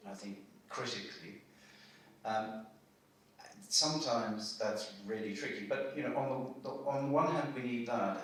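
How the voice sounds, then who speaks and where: A low-voiced man, an architect, talks slowly through his ideas on a panel, pausing often on fillers. He sounds distant, with a long echo from the hall and a faint hum of room noise behind him. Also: a bit bright. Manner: hesitant, thoughtful, many fillers. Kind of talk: panel discussion talk. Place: lecture hall. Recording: echoey hall from far off.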